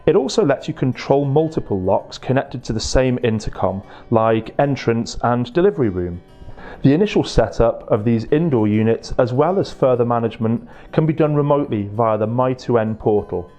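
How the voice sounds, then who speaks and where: A man giving a scripted promotional presentation in a measured, articulate way, as a product presenter. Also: medium pitch, lively intonation, dark tone, dull audio. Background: music.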